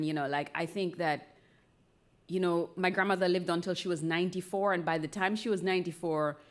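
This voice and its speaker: A woman with a Jamaican accent talking candidly on stage, unhurried, thoughtful and playful. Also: medium pitch. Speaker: speaker in a live conversation event. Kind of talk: reflective, candid talk before a live audience.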